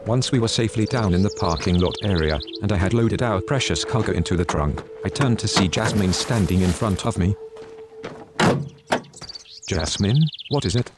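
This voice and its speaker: A man's voice: fairly low pitch, expressive, normal speed, natural voice. A man playing a gun-toting survivor in an audio drama, telling his story with dramatic flair. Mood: narrating, awkward. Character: Robert, gun-toting male survivor recounting zombie battles, wary, easily flustered. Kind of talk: audio drama acting with first-person narration. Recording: music under the voice.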